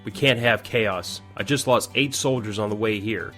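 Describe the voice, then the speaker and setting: A man's voice: fairly low pitch, moderate pace, sharp tone, soft background music. A man playing a principled army major in an audio drama, direct, earnest and businesslike. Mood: earnest, resolute. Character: firm, principled army major leading his men.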